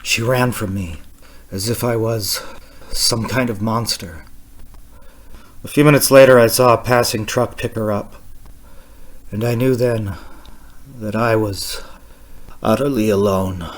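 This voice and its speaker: A man's voice: medium pitch, fairly bright tone, slight background noise. A man acting a haunted, desperate driver in an old radio suspense style, melodramatic and prone to stammering. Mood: hushed, bleak. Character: frantic, haunted male driver on a lonely road.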